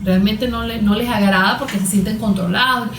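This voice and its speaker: A woman's voice: medium pitch, steady tone, fairly quick, a bit bright. A woman with a Venezuelan Spanish accent speaks as a guest therapist in an interview, explaining things didactically with everyday examples and questions she answers herself. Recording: roomy, echoing room sound.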